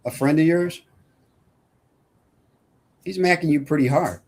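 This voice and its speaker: A man's voice: a little high, unhurried, darkish tone, pitched above the performer's own voice. A man reading the part of a casual, skeptical coworker at a film script table read.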